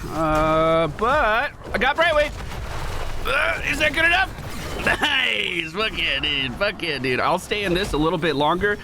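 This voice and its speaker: A man's voice: high-pitched, a little slow, creaky, noisy background. A young man with a slightly nasal voice from a cold, giving casual, swearing commentary over his own gameplay. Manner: casual, swears, excitable bursts when plays land. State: getting a cold. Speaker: gaming YouTuber.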